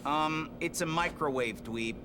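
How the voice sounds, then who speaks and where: An actor playing an impatient, gruff teenage mutant turtle in a video game, a sarcastic tough guy full of slang. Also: fairly high pitch, a little slow, noisy background, natural voice. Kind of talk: video game cutscene acting.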